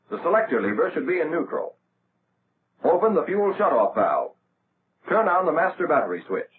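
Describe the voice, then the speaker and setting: A tinny, narrow old film soundtrack carries an American man narrating a 1940s army instructional reel. His voice sits fairly high and moves in lively rises and falls. He delivers scripted instructions in a measured, orderly way. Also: a little hiss or hum.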